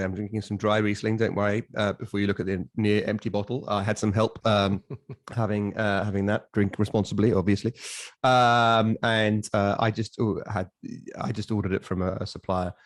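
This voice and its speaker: A man's voice: lowish voice, steady tone, moderate pace, no echo. A man chatting as a podcast co-host, with erudite wording that is by turns hesitant and emphatic. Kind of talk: casual podcast chat.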